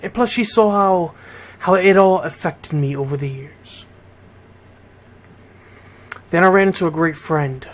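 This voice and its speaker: A middle-aged American man from Connecticut hosting a radio show, talking informally and directly to his listeners. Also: a little high. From Bridgeport, Connecticut, United States. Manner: conversational, addresses listeners directly. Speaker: radio host and DJ.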